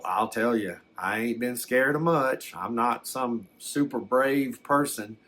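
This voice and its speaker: An older man, telling a personal story in a halting, reflective, folksy way. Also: fairly low pitch, a bit bright. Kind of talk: slow first-person storytelling.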